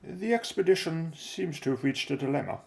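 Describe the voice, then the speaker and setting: A man playing an inquisitive, level-headed expedition member in an audio drama, measured and formal. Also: a little high, expressive, creaky, heavy background noise. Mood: inquiring.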